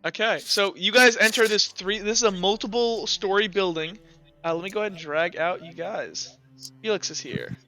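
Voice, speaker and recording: A man runs a tabletop game in casual, conversational narration. His voice is high and bright, recorded very dry, with faint music underneath. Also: moderate pace. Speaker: game master.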